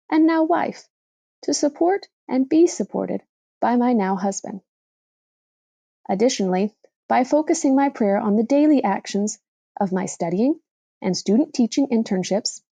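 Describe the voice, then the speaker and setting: A woman reading a reflective religious essay aloud as scripted narration, with measured, deliberate phrasing. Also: fairly high pitch, warm tone, no echo. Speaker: reader of a blog post for a podcast.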